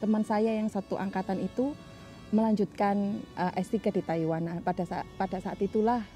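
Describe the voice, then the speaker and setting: Very mellow young woman. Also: mid-range voice, fairly even intonation, moderate pace, faint music behind. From Jombang, East Java, Indonesia. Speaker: doctoral student telling her story. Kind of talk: casual personal storytelling.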